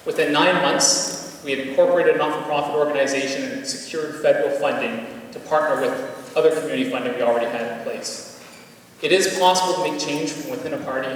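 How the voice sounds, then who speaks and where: A man's voice: mid-range voice, slight background noise. A man, an election candidate, giving a confident, assertive debate answer. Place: school gymnasium. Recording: echoey gym miked from far.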